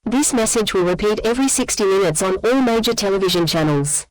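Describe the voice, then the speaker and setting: Female emergency broadcast announcer, acted, mid-pitched, formal and impersonal audio drama read, dry recording. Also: a little fast, no room sound, lightly acted, poor audio. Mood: impersonal, official. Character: impersonal official female public alert announcer. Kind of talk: formal scripted public alert.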